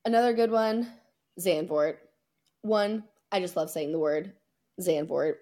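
A woman co-hosting a podcast, chatting casually about sports in an informal, slangy, excitable way. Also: lowish voice, unhurried. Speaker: podcast co-host.

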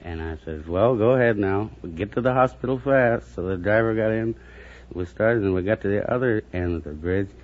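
A man's voice: on the low side, brisk. A middle-aged man speaking as a radio quiz contestant, in a folksy, jovial manner. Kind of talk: anecdotal interview answers. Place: stage before a studio audience. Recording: muffled, noisy old radio recording, audience.

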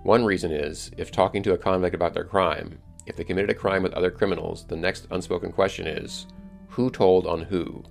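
A man hosting a podcast, reading scripted solo narration in a measured, explanatory way. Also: deep voice, fairly even intonation, gravelly, soft background music. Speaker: podcast host.

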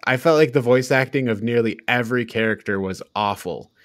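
A man's voice: medium pitch, a little fast, dry recording. A man with a mid-range voice talks as the host of a casual review podcast. He is blunt and informal, and the sound is dry, with very little room. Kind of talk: casual film review discussion.

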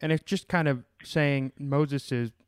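A man's voice: on the high side, fairly even intonation, a little slow, clean tone, no echo, clean studio sound. A man hosting a podcast, chatting casually about scripture, with many fillers and restarts. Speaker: podcast host.

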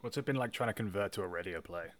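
A man's voice: on the low side, rapid-fire, a bit bright. A man hosting a casual podcast chat in an informal, self-effacing, joking way. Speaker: podcast host.

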